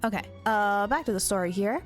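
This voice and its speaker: A woman's voice: medium pitch, lively intonation, brisk, soft background music. A woman live-streaming a game, chatting casually with viewers in a bubbly, giggly manner.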